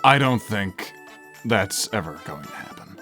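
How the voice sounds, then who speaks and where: A man voicing a gruff, aloof hedgehog in a cartoon fan dub, with dry, formal, grudging delivery. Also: lowish voice, a little slow. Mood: deadpan, reluctant. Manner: deadpan, formal phrasing. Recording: background music under voice.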